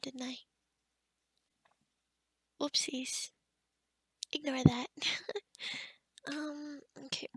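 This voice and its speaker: A woman's voice: high voice, vocal fry, dry recording, full character voice. A woman playing a kind, scatterbrained forest witch in an ASMR roleplay, rambling and muttering to herself with whispery asides. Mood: distracted muttering.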